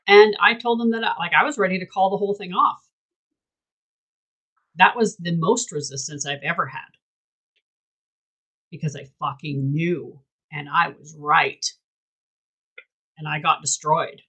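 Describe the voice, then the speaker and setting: Blunt, husky-voiced woman host. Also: lowish voice, steady tone, measured pace, long pauses, fairly bright tone. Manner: emphatic and blunt, swears freely. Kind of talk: candid casual chat.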